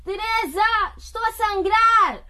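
A woman's voice: very high voice, takes it slowly, pushed and bright, full character voice. A woman acting an earnest, troubled teenage schoolgirl in a radio drama, with a youthful manner. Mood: alarmed.

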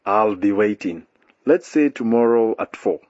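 An actor playing a scheming male politician who offers a bribe, smooth and coaxing in a radio drama. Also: lowish voice, lively intonation, unhurried, a little mellow. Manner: smooth, coaxing, then threatening. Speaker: member of parliament. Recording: dull lo-fi radio drama recording.

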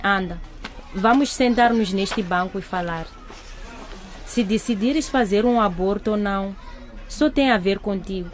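A woman's voice: medium pitch, unhurried, faint music behind, lightly acted. A woman playing a middle-aged grieving mother in a radio drama, frank, emphatic and earnest. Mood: earnest, advising. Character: grieving mother, blunt, guilt-ridden, advising a younger woman.

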